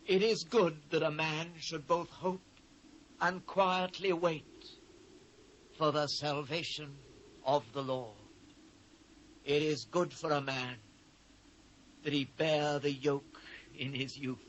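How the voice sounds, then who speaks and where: A British actor playing an anguished biblical prophet, giving a solemn, declamatory, theatrical scripture reading on an old cassette transfer. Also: male voice, a little high, steady tone, a bit bright, slight background noise, low-quality recording. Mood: hushed solemn resignation. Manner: solemn, declamatory, measured phrasing. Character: anguished biblical prophet lamenting a fallen city.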